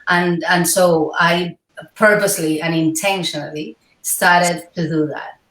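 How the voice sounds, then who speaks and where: A woman's voice: fairly low pitch, fairly even intonation, a little slow, fairly bright tone. A Cuban-born woman in her forties, a scientist and healer, speaking thoughtfully in an interview with many hesitations. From Cuba. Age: 40s. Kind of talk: interview conversation. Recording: echoey room, far from mic.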